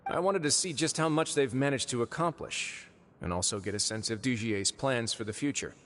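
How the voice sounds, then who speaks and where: An actor playing a composed, calculating adult male prison warden in a video game, speaking calmly with quiet wryness. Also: mid-range voice, a little hiss or hum, lightly acted. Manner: calm, measured, quietly wry. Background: faint game music.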